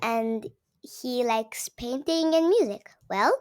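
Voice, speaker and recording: A woman's voice: a little high, takes it slowly, a little mellow, smooth. A seven-year-old girl from Gujarat with an Indian accent, reading a story aloud and explaining it simply to viewers like a young teacher. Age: child, seven years old. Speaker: young channel host.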